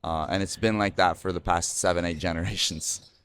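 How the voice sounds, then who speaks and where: A man's voice: low voice, steady tone, brisk, fairly bright tone, clear, resonant tone. A man in his thirties hosting a podcast, chatting loosely with lots of fillers and restarts. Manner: rambling, many fillers and restarts. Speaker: podcast host. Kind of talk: casual conversation.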